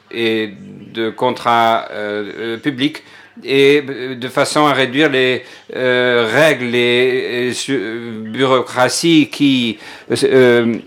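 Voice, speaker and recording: A man's voice: mid-range voice, fairly bright tone. Man hesitantly interpreting in parliament. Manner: hesitant, frequent filler pauses. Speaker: simultaneous interpreter.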